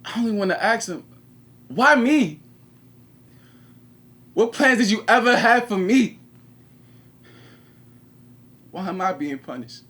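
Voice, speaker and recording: An actor playing an anguished, regretful 21-year-old prisoner in a confessional stage monologue with dramatic pauses. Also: male voice, high voice, lively intonation, fairly bright tone, exaggerated voice. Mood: pleading. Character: anguished 21-year-old male prisoner, former track star, bitter and regretful.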